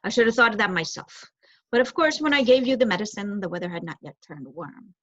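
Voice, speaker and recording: Lady doing a kindly tired old man mouse from a storybook, measured, husky, muffled. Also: mid-range voice, lively intonation, natural voice. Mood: regretful. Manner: measured, cordial, reassuring. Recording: roomy echo, dull muffled sound.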